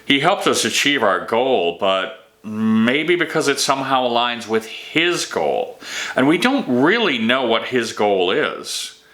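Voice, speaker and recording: A man's voice: mid-range voice, sing-song, slow pace, fairly bright tone, voice pushed up. A man voicing a suspicious, weary king in a fantasy audiobook, questioning and emphatic. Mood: suspicious, insistent. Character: troubled, suspicious young king, weary and doubting.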